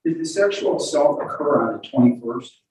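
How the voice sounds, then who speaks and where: Male courtroom questioner, halting and repetitive delivery, mellow tone, picked up from afar in a reverberant room. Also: on the high side, expressive. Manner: halting, stammering, repeats words. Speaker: questioner cross-examining a witness in court. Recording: very echoey room, distant mic.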